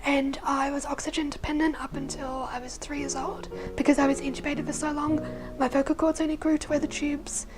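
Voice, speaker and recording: A young woman with a naturally husky, hoarse voice that sits high for a woman. She talks in a rambling, personal way, full of "like" and "you know", and often sinks into a breathy near-whisper. Clear music plays under her voice throughout. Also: little pitch movement, fairly quick. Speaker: vlogger sharing her life story. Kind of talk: casual personal storytelling.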